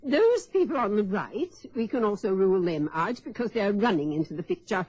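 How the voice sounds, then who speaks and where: A haughty, husky society lady sleuth. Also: mid-range voice, animated, darkish tone, no room sound, muffled recording. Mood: confidently deducing. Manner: haughty, brisk, condescending, precise. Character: imperious, sharp-witted society lady and amateur sleuth. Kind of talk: radio play acting.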